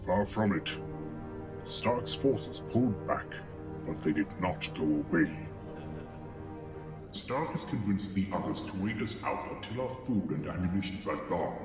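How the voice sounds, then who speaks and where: A man playing a calm, humble and wise alien Jedi master in a dramatic comic dub, measured and solemn. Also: low voice. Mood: calm resolve. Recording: heavy cave reverb, music behind.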